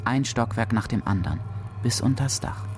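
A man's voice: mid-range voice, normal speed. A man narrating a radio drama in a literary, dramatic style.

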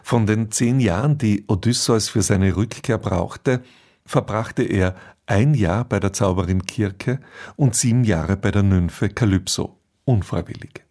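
A man presenting a scripted introduction to a radio drama as its host, with a husky voice. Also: fairly low pitch, unhurried, a little mellow.

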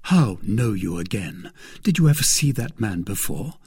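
Man playing a gallant, animated young soldier. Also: fairly low pitch, a little slow, husky, exaggerated voice. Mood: urgent. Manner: animated, authoritative. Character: gallant, commanding young gentleman soldier, reassuring and brisk. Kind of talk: dramatised audiobook reading.

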